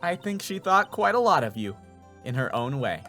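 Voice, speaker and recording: A man voicing a kind, wise woman lawyer who mentors in a measured, earnest way in a video game. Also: mid-range voice, sing-song, sharp tone, faint music behind. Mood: gently prompting.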